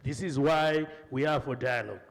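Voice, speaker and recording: A man answers for the governing party, steady and defensive. His pitch sits in the middle. A long studio reverb surrounds him. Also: normal speed, gravelly. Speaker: ruling-party panelist. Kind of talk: political debate.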